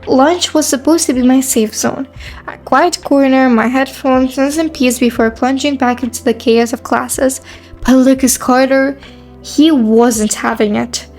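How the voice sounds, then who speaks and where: Woman acting a high-voiced, guarded teenage girl. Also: fairly even intonation, unhurried, darkish tone, soft background music. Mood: flustered, reflective. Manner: dry, defensive, sarcastic. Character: guarded, prickly quiet new high school girl. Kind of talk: first-person narration and dialogue in a teen romance.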